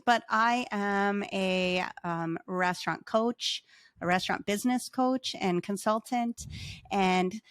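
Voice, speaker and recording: Podcast host lady, slow and eager, dry close sound, cheers her guest on. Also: medium pitch, a bit bright, no echo. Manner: enthusiastic, encouraging. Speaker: podcast host, restaurant coach. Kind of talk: interview host.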